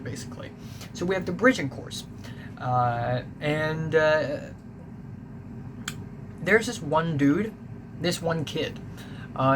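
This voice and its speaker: A young man from Hong Kong with a Hong Kong English accent, a native Cantonese speaker, telling a casual, rambling story that he keeps correcting and that he spices with swearing. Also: medium pitch. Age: young adult. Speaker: vlogger. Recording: noticeable background noise.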